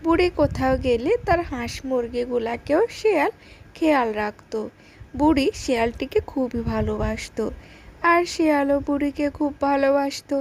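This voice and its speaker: A woman's voice: high voice, normal speed, a little hiss or hum, low-quality recording. A woman narrating a scripted fairy tale for children as the storyteller.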